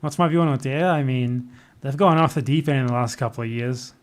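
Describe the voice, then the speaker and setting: A man's voice: medium pitch, a little fast. A man with an Australian accent chatting casually and off the cuff as a livestreamer, in a blunt, opinionated, sardonic manner. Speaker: livestreamer answering chat questions. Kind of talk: casual off-the-cuff political chat.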